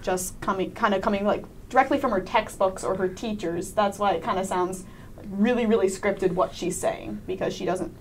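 A woman's voice: mid-range voice, normal speed. A teenage woman, a student actor, answering casually and informally in a panel interview. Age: teens. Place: small indoor studio. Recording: faint background room noise.

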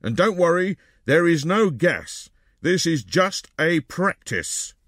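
A man playing a strict wartime official in a storybook, giving crisp orders to children. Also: a little high, big pitch swings, slow pace, fairly bright tone, dry recording, pitched above the performer's own voice, heavily acted. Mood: ordering.

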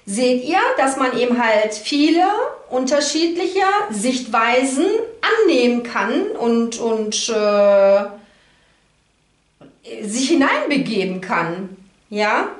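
High-voiced, unhurried woman in an echoey room. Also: lively intonation. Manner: conversational, reassuring, checks in with frequent tag questions. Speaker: card reader, host. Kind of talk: informal spiritual card reading to viewers. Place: indoor room, at a card table.

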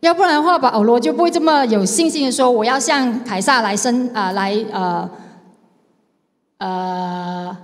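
A woman's voice: a little high, moderate pace. A woman in her thirties with a Malaysian Mandarin accent, interpreting a church sermon phrase by phrase into a handheld mic. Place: large church hall, indoors, on stage. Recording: echoey hall with long reverb.

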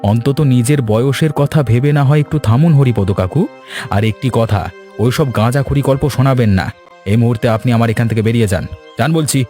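A man's voice: mid-range voice, a little fast, warm tone, natural voice. Cartoon village doctor villain, man's voice: dismissive, scolding, imperious, mid-range. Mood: scornful, irritated. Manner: scornful, scolding. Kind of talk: Bengali cartoon voice acting. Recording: background music bed.

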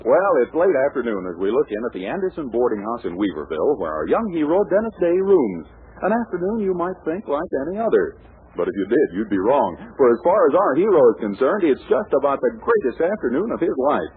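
A man's voice: medium pitch, big pitch swings, a little fast. An American man working as a radio announcer, reading a script in 1940s radio style. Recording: tinny band-limited 1940s radio recording.

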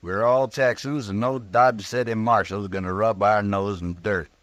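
A combative Texas cowboy, played by a man, with a lowish voice and an American drawl. He is blunt, folksy and menacing, in vintage radio western style, on a dry recording. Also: steady tone, normal speed, no room sound, lightly acted. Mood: blunt, defiant. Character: defiant, threatening Texas cowboy of the Old West. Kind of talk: old-time American radio western acting.

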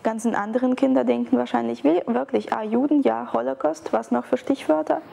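A young woman in an interview, telling a story in a lively way and quoting what others think. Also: a little high, fairly quick, gravelly. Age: young adult. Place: indoors by a wall.

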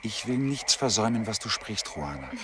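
An actor playing an earnest, tender lover in a radio play, gentle and intimate, with frogs croaking behind. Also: male voice, on the low side, sing-song, a little fast, background noise. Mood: tender, devoted.

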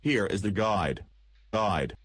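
A man narrating a scripted software tutorial in an instructional, step-by-step manner. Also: fairly low pitch, lively intonation, takes it slowly, slightly rough, no room sound.

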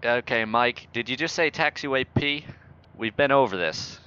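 A man's voice: medium pitch, normal speed, sharp tone, clear, resonant tone. A man improvising as an air traffic controller in a flight simulator game, bossy, sarcastic and mock-authoritative. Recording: muffled voice chat with faint noise.

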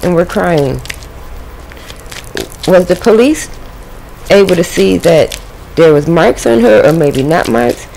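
A woman's voice: lowish voice, animated, takes it slowly, creaky, pauses often, dark tone, slight background noise, low-quality recording. A woman doing a casual tarot reading at a home table, chatting to the spirit and her viewers. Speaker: tarot reader.

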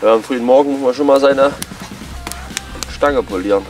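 A man's voice: mid-range voice, normal speed, music in the background. A man chatting casually and joking while cooking and doing chores at a forest camp. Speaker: bushcraft vlogger. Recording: noisy outdoor camp audio.

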